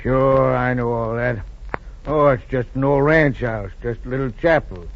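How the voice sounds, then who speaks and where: An actor playing a practical, skeptical ranch hand in 1940s radio drama, with a folksy, dismissive manner. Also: male voice, medium pitch, measured pace, close to an everyday voice. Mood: doubtful. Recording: thin, phone-like noisy old radio recording.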